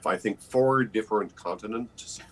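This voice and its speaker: A man's voice: on the low side, moderate pace. An older man, an actor, giving conversational interview answers as a guest on a video call. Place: book-lined room indoors. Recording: faint background noise on video call.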